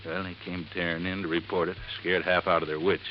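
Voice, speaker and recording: A folksy, rambling desert resort handyman, played by an actor, in a 1950s radio drama, his voice sitting very low. He drops his g's and spins his story at his own pace. The recording is muffled, with a faint hiss underneath. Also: little pitch movement, brisk, close to an everyday voice. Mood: earnest, folksy.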